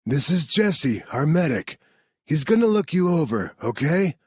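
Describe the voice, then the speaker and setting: A man's voice: on the high side, sing-song, a little slow, darkish tone, husky, no echo, voice pushed up, full character voice. A man voicing a blunt, protective male team leader in an audiobook. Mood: reassuring. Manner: blunt, commanding.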